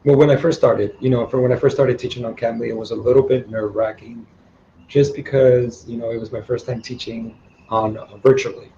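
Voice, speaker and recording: A man working as an online English tutor, talking in a relaxed, friendly, chatty way with plenty of "um" and "you know" over a video call. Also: mid-range voice, a little fast, lo-fi sound, a little hiss or hum. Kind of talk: casual conversation.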